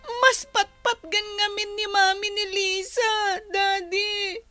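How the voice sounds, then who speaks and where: A woman's voice: extremely high pitch, fairly even intonation, slow pace, pushed and bright, soft background music, heavily acted. A woman acting as a sulky, tearful little schoolgirl complaining in a radio drama.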